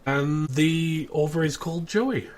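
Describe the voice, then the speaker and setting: A man's voice: on the high side, takes it slowly, slight background noise. A man hosting a casual podcast, bantering in a dry, self-deprecating way. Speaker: podcast host.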